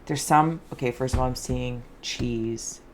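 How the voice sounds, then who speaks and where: A woman hosting an improvised spiritual card and scrying reading, soft-spoken, with a dreamy, meandering, alliterative way of talking. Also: a bass voice, measured pace, slight background noise. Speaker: host, tarot and scrying reader.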